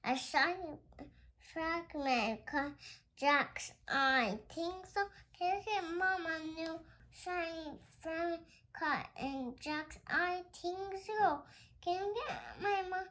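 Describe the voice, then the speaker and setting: A child with a high voice reads a holiday picture book aloud. The reading is slow and halting, with stumbles over the words. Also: pushed and bright.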